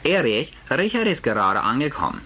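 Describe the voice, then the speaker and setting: A man's voice: medium pitch, huge pitch swings, brisk, pushed and bright, voice pushed up, full character voice. A man voicing a nervous middle-aged housewife and mother in an audiobook, anxious and placating, covering with forced cheer.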